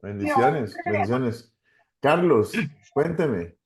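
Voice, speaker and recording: A man's voice: medium pitch, animated, slow pace. A Colombian man, a pastor, casually hosting an online class in an encouraging way with short repeated acknowledgements. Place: online video call.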